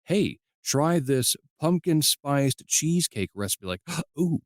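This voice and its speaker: A man's voice: a little high, very animated, takes it slowly, no room sound, studio quality. A man hosting a podcast in a casual, conversational way, playfully acting out the lines he quotes. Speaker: podcast host. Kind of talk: casual interview chat.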